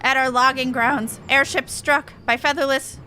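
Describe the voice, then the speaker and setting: Shrill, squawking bird-creature. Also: female voice, steady tone, normal speed, pushed and bright, slight background noise. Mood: agitated, indignant. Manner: inverted word order, punctuated with squawks. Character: excitable squawking bird-beastman with inverted speech. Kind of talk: game dialogue read aloud in character.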